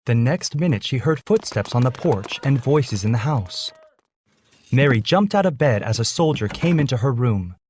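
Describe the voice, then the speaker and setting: A man's voice: medium pitch, lively intonation, moderate pace, a little mellow, slight background noise. A man reading a scripted children's story as its narrator.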